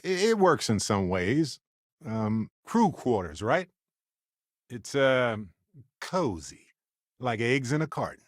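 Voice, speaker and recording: An affable space explorer from a video game, with a lowish voice and a dry, close studio sound. He talks like a curious scientist, wry and easygoing, musing aloud in a conversational way. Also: no room sound, full character voice. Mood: playful, easygoing. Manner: conversational, wry, thoughtful, with hesitant asides. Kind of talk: video game companion dialogue.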